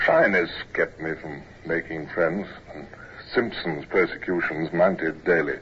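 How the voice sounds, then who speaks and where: An actor playing a naval officer who narrates his miserable youth in a measured, reflective way, in a 1950s radio drama. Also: rumbling low, a bit bright. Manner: measured, reflective, grave storytelling. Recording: muffled, noisy vintage radio recording.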